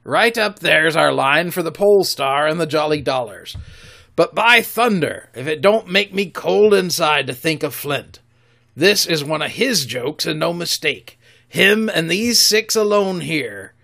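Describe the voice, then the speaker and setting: A man voicing a cunning, commanding old pirate cook who talks in gruff sailor's slang, loud and blustering. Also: fairly high pitch, expressive, unhurried, exaggerated voice.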